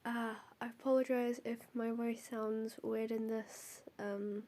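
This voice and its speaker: A woman's voice: fairly high pitch, little pitch movement, unhurried, mellow, a little hiss or hum. A young woman with a cold and a stuffy nose, hosting and reading out folklore notes, hesitant with many ums and apologies. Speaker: host.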